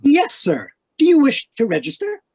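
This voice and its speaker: A woman's voice: high-pitched, wildly swooping pitch, normal speed, dark tone. Woman playing a polite, gossipy radio hotel clerk. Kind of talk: old-time radio drama acting. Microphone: video call.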